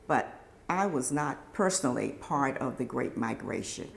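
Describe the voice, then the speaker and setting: Low woman from virginia, casual, roomy echo around her. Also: expressive, unhurried, vocal fry. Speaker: oral history participant. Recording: roomy echo, faint noise.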